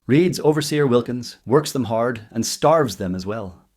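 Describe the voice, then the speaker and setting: A man's voice: mid-range voice, animated, measured pace, darkish tone. Man playing a grave Irish convict bearing bad news. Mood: grim, urgent. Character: Irish convict man, bitter, urgent bearer of grim news.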